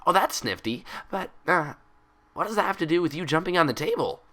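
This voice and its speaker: Man putting on a high, hyper, chirpy girl pony. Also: fairly quick. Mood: bubbly. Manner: bubbly, rambling, childlike excitable chatter with filler "like". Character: hyper, cheerful, gullible young female cartoon pony.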